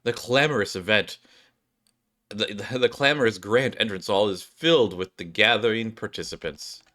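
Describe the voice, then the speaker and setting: A man's voice: lowish voice, moderate pace, sharp tone. A man streaming a game, reading its story text aloud and commenting casually. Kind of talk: casual gameplay commentary and read-aloud narration.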